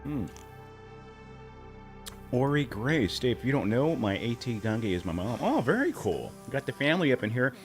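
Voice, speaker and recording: A man hosting a casual livestream, slightly hoarse, rambling and warmly thanking viewers for tips. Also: very animated, normal speed, pauses often, soft background music. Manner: rambling, thanks tippers, breaks into silly high voices. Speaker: livestream host.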